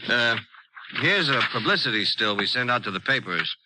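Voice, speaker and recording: A man's voice: medium pitch, very animated, normal speed, lightly acted. An actor playing a breezy, teasing office man in 1950s radio drama style. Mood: amused, teasing. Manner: breezy, folksy. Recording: noisy old radio recording.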